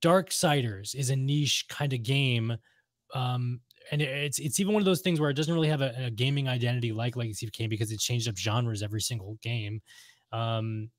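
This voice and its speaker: A man in casual, opinionated conversation as a podcast co-host. His voice sits at a middle pitch and sounds close and dry, with points repeated for emphasis. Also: fairly quick, dry recording. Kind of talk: casual chat about video games.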